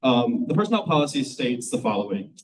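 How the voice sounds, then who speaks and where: Young michigan guy reading out his campaign pitch, eager and kinda formal, far from the mic. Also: sing-song, brisk, noisy background. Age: young adult. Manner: eager, prepared, formal speech delivery. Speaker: student election candidate. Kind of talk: campaign speech at a forum. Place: room at a public forum.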